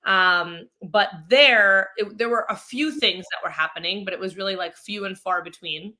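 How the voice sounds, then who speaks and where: Female guest from Mexico, bright forward voice, medium pitch, informal conversational storyteller. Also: moderate pace. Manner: chatty, self-quoting, informal. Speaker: interview guest, actress and film producer.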